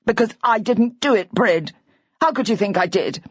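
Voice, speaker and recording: A despairing older husband who rambles in a near-hysterical rush, as a woman narrator puts him on. The voice stays close to her own husky tone, on a dull, dry recording. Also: mid-range voice, moderate pace, no room sound, voice pushed up, lightly acted. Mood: distraught.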